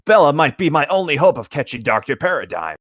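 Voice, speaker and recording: A man's voice: fairly high pitch, very animated, quick pace, fairly bright tone, no echo, lo-fi sound. A man voicing a guilt-ridden human-shark monster narrating his own fan-fiction story. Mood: anguished.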